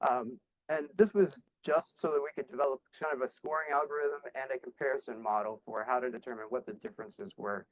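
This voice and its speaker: A man's voice: medium pitch, fairly even intonation, a little fast, low-quality recording. A man presenting slides at a conference talk, explaining his work in a loose, conversational way with many fillers and restarts. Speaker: conference speaker.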